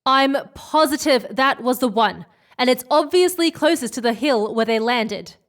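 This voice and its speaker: A woman's voice: sits high, animated, normal speed. A woman playing an adamant, passionate crew member in a sci-fi audio drama, emphatic and insistent.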